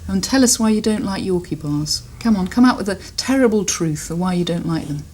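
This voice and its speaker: A woman's voice: on the low side, lively intonation, fairly quick, a little mellow, slight background noise. A British woman presents in a chatty studio style. Her intonation swings widely, and she teases playfully as she talks. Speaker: television presenter. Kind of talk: chatty studio interview with a child.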